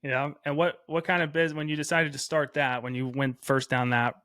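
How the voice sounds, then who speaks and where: A man's voice: fairly high pitch, little pitch movement, normal speed, fairly bright tone, no room sound, studio quality. A man hosting a podcast, asking loose, rambling questions with fillers. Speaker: podcast host. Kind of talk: interview questions.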